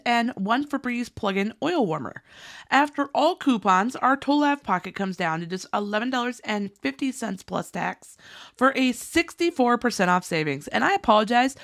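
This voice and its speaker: A chatty woman in her thirties hosts a couponing video, her voice bright and higher than most, swooping up and down as she lists items and prices. Her voice is a little worn and hoarse, as if it is going, yet she keeps an eager, friendly pace. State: losing her voice. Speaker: couponing channel host. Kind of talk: upbeat scripted deal rundown.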